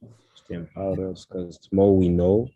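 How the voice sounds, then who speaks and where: A man, a fashion designer speaking as a guest in a casual interview, pausing often and searching for words. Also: deep voice, flat delivery, warm tone, no echo, muffled recording. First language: French. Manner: halting, searching for words, many "uh"s.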